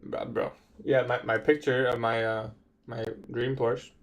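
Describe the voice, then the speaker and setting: A man's voice: medium pitch, flat delivery, unhurried. A man in his twenties chatting casually on a trading livestream, answering viewer questions in a laid-back, slangy way. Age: 20s. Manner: laid-back, slangy, says bro a lot. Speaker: livestream trader.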